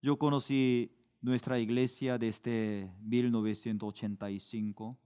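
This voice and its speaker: A middle-aged man preaches at a slow, measured pace. His voice is smooth and resonant, and it jumps higher and louder when he stresses a point. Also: flat delivery. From Korea. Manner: slow, deliberate preaching with sudden rises in pitch and volume for emphasis. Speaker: pastor. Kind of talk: sermon.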